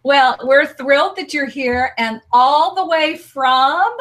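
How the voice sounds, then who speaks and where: An upbeat American woman hosts a live stream interview show, pitching her sponsor and guest with lots of enthusiasm. Her voice sits a little high. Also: slow pace, fairly bright tone. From United States. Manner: upbeat, promotional. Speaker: host.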